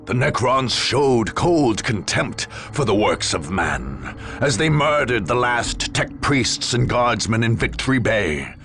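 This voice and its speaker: Game narrator: grave war chronicler, gravelly, swooping pitch, deliberate delivery. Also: male voice, animated, over music. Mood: ominous, grim. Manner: solemn, ominous, deliberate. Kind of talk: dramatic scripted narration.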